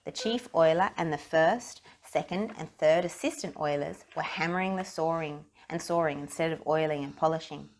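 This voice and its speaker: A woman reading a children's story aloud in an expressive narrating style. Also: low voice, moderate pace, few pauses, a little hiss or hum. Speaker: storybook reader.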